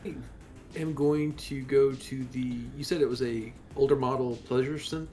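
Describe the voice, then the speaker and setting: Man at middle pitch, gaming over music. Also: steady tone, moderate pace, darkish tone. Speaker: tabletop roleplaying player. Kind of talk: casual in-character game talk. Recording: music playing underneath.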